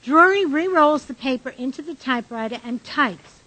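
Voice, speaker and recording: A woman's voice: high voice, lively intonation, moderate pace, bright, forceful tone, no echo, rough recording. A woman reading scripted narration and stage directions for a radio drama.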